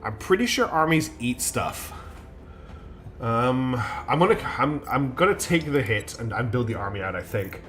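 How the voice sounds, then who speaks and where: A man's voice: medium pitch, big pitch swings, normal speed, music in the background. A man streaming a strategy game live. He thinks aloud in a chatty way, with many ums and restarts, and his nose is slightly blocked.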